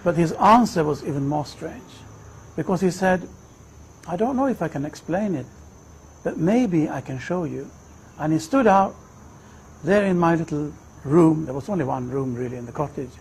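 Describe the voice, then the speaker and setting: A man in his fifties from Sweden, telling his personal story in a reflective, measured way. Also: a little high, expressive, slight background noise, rough recording. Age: 50s. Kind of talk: personal testimony, unhurried storytelling.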